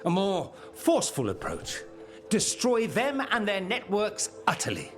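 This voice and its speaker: An actor playing a suave, ambitious mage and rebel schemer in a fantasy video game, speaking with wry, theatrical eloquence. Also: male voice, high voice, huge pitch swings, unhurried, faint music behind, exaggerated voice. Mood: insistent. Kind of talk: dramatic video game dialogue acting.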